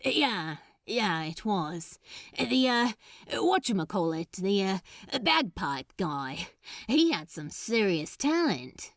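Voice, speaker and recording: A woman voicing an awkward, nervous male demon in a fan fiction audiobook, halting and rambling as he stammers. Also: mid-range voice, very animated, dry recording, clean studio sound, voice pushed down. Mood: awkward, casual. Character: awkward flustered man, nervous demon babbling to his friend.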